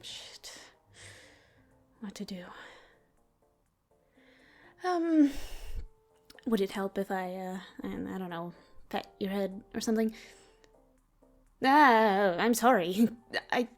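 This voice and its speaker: A woman voicing a teasing yet tender female demon in an intimate roleplay ASMR style, soft and breathy, often near a whisper. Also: medium pitch, expressive, slow pace, pauses often. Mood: flustered, apologetic. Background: music.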